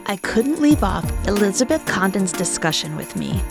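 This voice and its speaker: A woman's voice: medium pitch, big pitch swings, moderate pace, gravelly, over music. A woman hosting a podcast, presenting and discussing interview clips. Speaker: podcast host.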